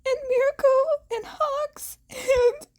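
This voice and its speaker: A woman voicing a distraught, guilt-ridden teenage boy in an audiobook, stammering through tears in a high, breaking voice. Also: fairly even intonation, very slow, darkish tone, heavily acted. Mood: sobbing, apologetic. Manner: stammering, repeating words.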